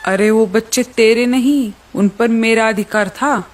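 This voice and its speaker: A woman's voice: a little high, measured pace, lightly acted. A woman voicing a greedy, hungry male hawk villain who gloats in a children's cartoon story. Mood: menacing. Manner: gloating, possessive.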